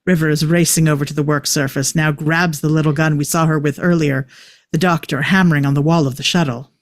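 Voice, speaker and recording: Dry-sounding screenplay narrator, mid pitch. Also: fairly even intonation, brisk, no room sound. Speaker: stage-direction reader. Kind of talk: scripted narration.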